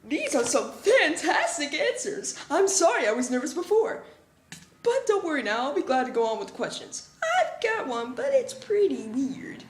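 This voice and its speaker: Male actor as nervous young canvasser woman, high, animated voice, roomy sound, comic dub. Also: moderate pace, a little hiss or hum. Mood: curious.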